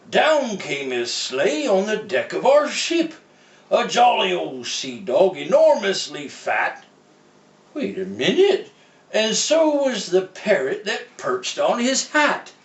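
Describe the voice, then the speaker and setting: A man's voice: high voice, muffled recording, heavily acted. A man doing a jolly old pirate storyteller. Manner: folksy, tags lines with "eh?", pirate slang. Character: jolly old pirate, Santa's seafaring cousin. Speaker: storybook reader. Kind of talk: in-character read-aloud. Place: room, reading to a computer. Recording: roomy, mic at a distance.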